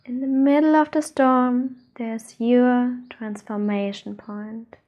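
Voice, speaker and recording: High-voiced slow woman guiding meditation. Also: fairly even intonation, lo-fi sound. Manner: soothing, unhurried, gentle instructions with pauses. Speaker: meditation teacher, channel host. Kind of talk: guided meditation.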